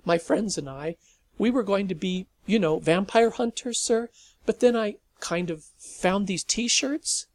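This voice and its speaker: A man voicing a nervous, earnest teenage boy who stammers and rushes his words in a storybook reading. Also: sits high, no room sound, voice pushed up.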